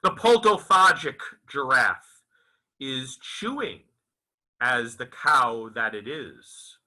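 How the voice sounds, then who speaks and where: A man reading literary prose aloud as its author, in a dramatic, deliberate recitation with halting phrases and emphatic enunciation. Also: mid-range voice, sharp tone, poor audio. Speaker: author reading his own novel aloud.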